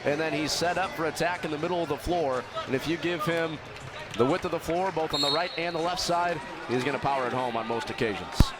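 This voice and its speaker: A man's voice: a little high, fairly quick. An American man giving live volleyball analysis as colour commentator, explaining plays with emphasis on key words. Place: indoor sports arena during a match. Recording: clear gym noise, bouncing balls. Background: arena noise, balls bouncing.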